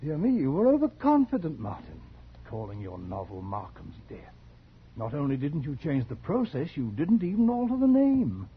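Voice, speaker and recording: An actor in a 1940s radio drama playing a genteel, scholarly collector who is sly and quietly menacing, with polite, precise, insinuating speech. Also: male voice, a little high, huge pitch swings, moderate pace. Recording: dull, slightly noisy 1940s radio recording.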